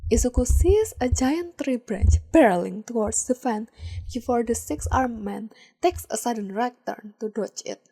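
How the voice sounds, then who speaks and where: A woman reading fan fiction aloud as a podfic, with calm, measured storytelling. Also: a little high, poor audio. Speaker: podfic reader.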